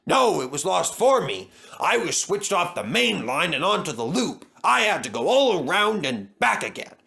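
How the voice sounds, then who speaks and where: A proud storybook express engine, as a male narrator plays him, boastful and pompous. The pitch is lifted and swings widely, with a bright, forceful edge. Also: very animated, moderate pace, creaky, husky, full character voice. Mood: defensive. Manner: pompous, declaiming.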